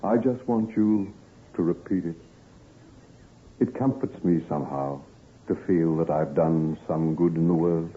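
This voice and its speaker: Grave, low-voiced tormented doctor, old radio. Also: male voice. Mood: weary, wistful. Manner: grave, halting, melodramatic delivery. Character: anguished, prematurely aged doctor, not yet thirty, secretive, self-reproaching. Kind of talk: old-time radio drama acting. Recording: muffled, narrow-band old radio recording.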